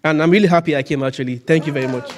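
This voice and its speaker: A man from northern Nigeria speaks at a seminar in an earnest, halting way, repeating words as he goes. His voice sits somewhat high. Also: noisy background. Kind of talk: speaking in a seminar introduction round.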